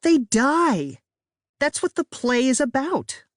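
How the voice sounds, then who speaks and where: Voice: high-pitched, sing-song, slow pace, dry recording, studio quality, pitched above the performer's own voice, full character voice. A narrator voicing a bookish, easily frustrated preteen girl with a theatrical, exasperated manner in an audiobook. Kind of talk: acted character dialogue in a children's audiobook.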